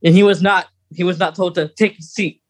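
A woman's voice: fairly low pitch, steady tone, fairly quick, a little hiss or hum. A woman speaks as a podcast co-host in an informal fan discussion. She explains things conversationally, qualifying herself and tucking in extra details as she goes. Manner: conversational, hedging, adds asides and details.

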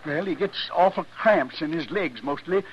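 Male actor as anxious rustic homesteader, American, raised pitch, pleading, earnest, radio drama, muffled with faint hiss. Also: moderate pace, lightly acted. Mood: frightened, pleading. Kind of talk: 1950s radio western acting. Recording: dull, hissy old radio recording.

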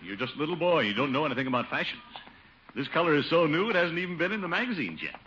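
A man's voice: fairly high pitch, very animated, rapid-fire. A man playing a vain, pompous, blustering middle-aged uncle in a 1950s radio comedy. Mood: proud. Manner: pompous, self-satisfied, chuckling bluster. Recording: dull old radio recording, faint noise.

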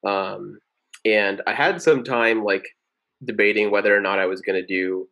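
A man in his twenties from Venezuela, a medical student chatting as a podcast guest, relaxed and full of fillers. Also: lowish voice, normal speed. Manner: relaxed, many fillers and restarts. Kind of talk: casual interview chat.

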